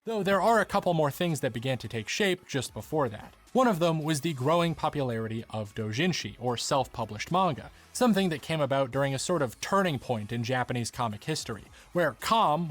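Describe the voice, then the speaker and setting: A man's voice: mid-range voice, animated, a little fast, few pauses, fairly bright tone. A man narrating a scripted explanatory video essay, with wry, humorous asides.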